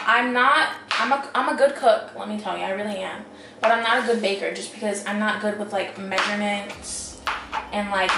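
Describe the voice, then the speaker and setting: A young adult woman talking in a casual, rambling cooking-vlog style, with lots of informal filler phrases like a chat with friends. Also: mid-range voice. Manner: informal, rambling, frequent "like" and "you know". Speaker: home cooking vlogger. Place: home kitchen, indoors. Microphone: camera across the table. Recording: roomy, distant camera mic, background music.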